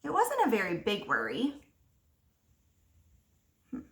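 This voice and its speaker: A woman teacher reading a picture book aloud to young children, expressively, pausing to ask them simple questions. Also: mid-range voice, huge pitch swings. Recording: roomy echo, distant microphone.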